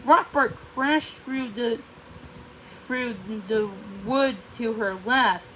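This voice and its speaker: A woman narrating a storybook aloud, haltingly, often stumbling and going back over phrases. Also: a little high, bright, forceful tone, a little hiss or hum, poor audio.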